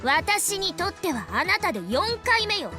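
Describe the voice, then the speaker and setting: A curt, petite young genius researcher. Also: female voice, extremely high pitch, big pitch swings, quick pace. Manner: sharp, impatient retorts. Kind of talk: anime game voice acting in Japanese. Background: game background music.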